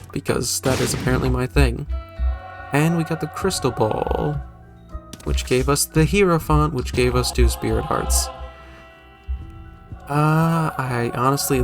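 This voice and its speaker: A man's voice: mid-range voice, moderate pace, over music. A man hosting a gaming video with casual, joking, self-deprecating commentary and some mild swearing.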